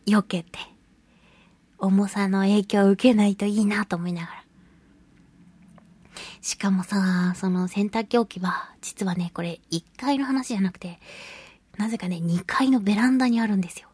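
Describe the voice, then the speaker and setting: A woman hosting a solo radio show, chatting in an animated, self-deprecating storytelling manner. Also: medium pitch, normal speed, long pauses, slightly rough, dry recording. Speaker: radio show host and voice actress.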